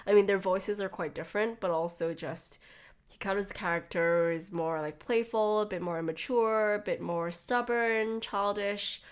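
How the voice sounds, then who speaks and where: A woman's voice: mid-range voice, moderate pace, clean tone. A young adult woman with a blocked nose and a slightly nasal voice, rambling casually on her podcast with lots of "like"s and restarts. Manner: chatty, rambling with frequent "like" and restarts. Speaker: podcast host. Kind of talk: casual solo podcast talk.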